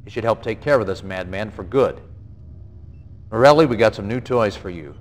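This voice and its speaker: A man's voice: fairly low pitch, moderate pace, close to an everyday voice. An actor playing a stern, confident male military general, giving an authoritative, plain-spoken mission briefing in a video game. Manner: authoritative, colloquial. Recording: long reverb, faint noise.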